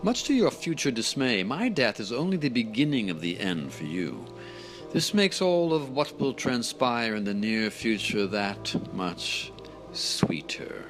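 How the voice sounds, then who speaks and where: An actor playing a vindictive male doctor in an audio drama, delivering a measured, gloating, theatrical monologue. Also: medium pitch, very animated, fairly bright tone. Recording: music behind the voice. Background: dramatic music.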